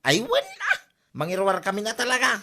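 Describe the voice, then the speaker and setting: A woman voicing a boastful village husband in an Ilocano comedy drama, with an animated, exclaiming delivery. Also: on the high side, fairly quick, exaggerated voice. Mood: excited.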